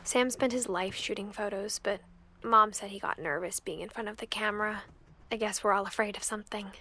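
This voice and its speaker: A woman's voice: medium pitch, steady tone, fairly quick, sharp tone, breathy edge. Casting: American woman in her twenties, mid-range voice, wry reflective first-person narration for a video game. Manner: wry, musing.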